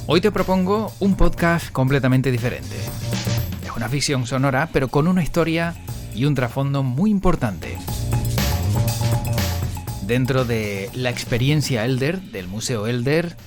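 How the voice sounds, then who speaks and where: A man's voice: medium pitch, lively intonation, a little slow. Male presenter, podcast intro and interview delivery, music behind. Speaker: podcast host. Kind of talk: scripted intro narration and interviewing.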